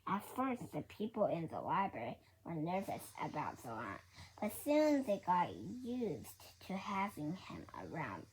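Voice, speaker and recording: A woman reading a children's book aloud in a halting way, often repeating and correcting her words. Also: on the low side, huge pitch swings, low-quality recording. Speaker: storybook reader. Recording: faint background noise.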